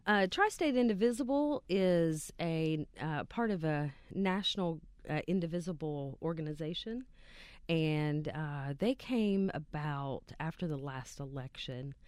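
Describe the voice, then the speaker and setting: A woman from West Virginia speaks with a smooth, clear voice in a dry studio, her words full of pauses and ums. She sits slightly back from the mic. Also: low-pitched, expressive, no room sound. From West Virginia, USA. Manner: hesitant, many ums and uhs, soft-spoken. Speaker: guest, local activist. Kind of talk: casual interview. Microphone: sits a bit off the studio mic.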